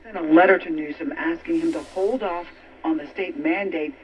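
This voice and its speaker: A man's voice: fairly high pitch, normal speed. A man reading a radio news report, played back through a vintage radio set. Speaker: news reader. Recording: distant, noisy vintage radio playback.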